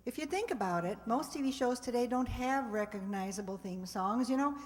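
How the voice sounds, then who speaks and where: A woman's voice: a little high, animated, brisk. A woman host chattily introducing a live radio play, speaking directly to an audience. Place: live stage in a hall with audience. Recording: reverberant hall.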